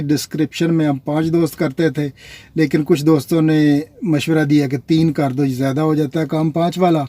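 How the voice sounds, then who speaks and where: A man's voice: fairly high pitch, mellow, dry recording. A man from Pakistan, vlogging in a casual, rambling way and talking straight to his viewers. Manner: chatty, rambling, addresses viewers as nazreen. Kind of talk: casual vlog talk to viewers.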